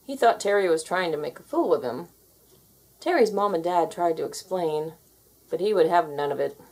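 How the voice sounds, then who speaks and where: A woman reading a children's story aloud in an expressive, scripted narrating style. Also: fairly low pitch, moderate pace. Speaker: storybook reader.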